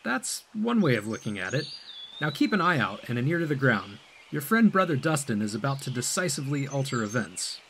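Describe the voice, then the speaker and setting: A cryptic mentor , acted by a man, speaks in a measured, evasive way in a science-fiction audio drama. The intonation moves widely around an average male pitch, with faint noise behind. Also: sing-song, a bit bright. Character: cryptic, calm male mentor guiding an android.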